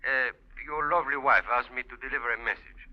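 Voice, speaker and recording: An actor playing a genial, formal gentleman friend in a 1940s radio drama, speaking with polite, deliberate phrasing. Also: mid-range voice, lightly acted. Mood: courtly, genial. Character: courtly, gout-ridden Spanish-named gentleman friend, genial and formal. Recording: dull, lo-fi vintage radio recording.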